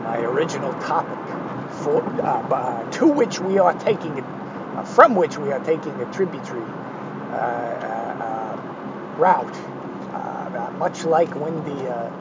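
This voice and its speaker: A man with a hoarse, failing voice talking through an art lesson, digressive and hesitant with many fillers and sardonic asides. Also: mid-range voice, lively intonation, a little slow, muffled recording, background noise. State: hoarse, losing his voice. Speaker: art instructor. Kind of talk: rambling spoken art lesson.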